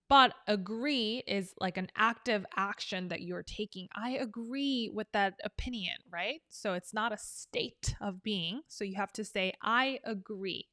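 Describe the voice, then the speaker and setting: A woman's voice: medium pitch, bright, forceful tone, dry recording, clean studio sound. A young woman from South Korea, teaching an English lesson in a chatty, upbeat way. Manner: chatty and upbeat, explaining with examples. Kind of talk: casual online English lesson.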